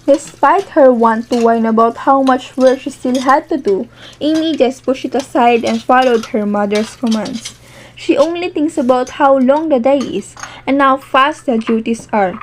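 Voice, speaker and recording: A woman's voice: sits high, moderate pace, slight background noise, rough recording. A woman giving scripted narration for an amateur cartoon story.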